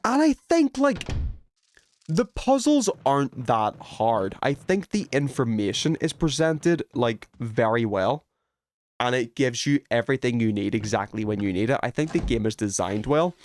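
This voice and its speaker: A man's voice: mid-range voice, slight background noise. A man live streaming, chatting casually and thinking aloud as he plays a video game. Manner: informal, thinking aloud while working out puzzles. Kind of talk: casual chat while playing a video game.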